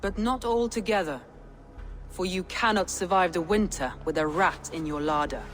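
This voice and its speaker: An actress as a stern female warrior chieftain from a historical video game. She speaks gravely and deliberately, carrying the weight of betrayal, over faint background noise. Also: lowish voice, lightly acted. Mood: solemn, stern. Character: commanding Danish woman clan leader, stern and wounded by betrayal. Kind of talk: cinematic game voice acting.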